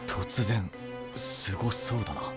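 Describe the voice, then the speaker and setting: An actor playing a brash, sceptical young would-be knight with a blunt, rough manner in an anime voice drama. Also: male voice, on the low side, slow, music in the background. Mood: sceptical, competitive.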